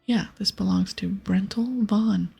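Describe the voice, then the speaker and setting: Woman reading as chatty, helpful fantasy-game innkeeper, very breathy half-whisper, earnest. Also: medium pitch, measured pace, dark tone, faint music behind, full character voice. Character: friendly tavern innkeeper, gossipy and helpful.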